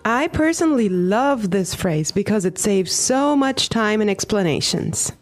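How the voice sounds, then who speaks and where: A woman co-hosts a language-learning podcast with a mid-pitched voice. She sounds conversational yet instructive, presenting phrases clearly for learners. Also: expressive, measured pace, faint music behind. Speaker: podcast co-host and language teacher.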